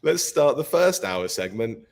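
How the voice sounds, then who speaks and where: A man hosting a live podcast stream, his voice croaky from illness, chatty and laughing often. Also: medium pitch, sing-song, unhurried, background noise. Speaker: podcast host. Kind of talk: casual live-stream chat.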